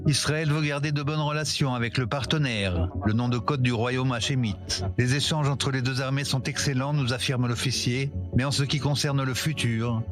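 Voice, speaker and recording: Man narrating a news report over clear background music, steady read. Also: mid-range voice, moderate pace, few pauses.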